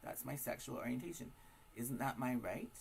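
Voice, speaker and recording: A hoarse man with a raspy voice, giving a casual, emphatic opinion monologue to camera full of rhetorical questions. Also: medium pitch, expressive, moderate pace, a little hiss or hum. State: hoarse from smoking and partying. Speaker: vlogger.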